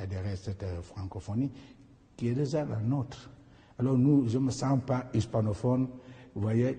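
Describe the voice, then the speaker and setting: A middle-aged man from Equatorial Guinea, Fang his first language, answers questions in a slow, halting way, stopping and restarting often. His voice is low and very mellow, and a long hall reverb trails behind it. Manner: halting, many pauses and restarts. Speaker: candidate being interviewed. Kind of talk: interview answers.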